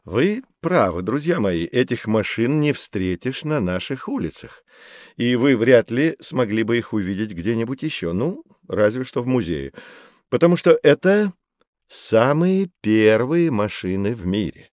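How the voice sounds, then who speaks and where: An actor playing a kindly, avuncular professor teaching children in an educational audio play. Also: male voice, medium pitch, big pitch swings, unhurried, darkish tone, no room sound, clean studio sound. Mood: explaining. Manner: avuncular, theatrical, explanatory.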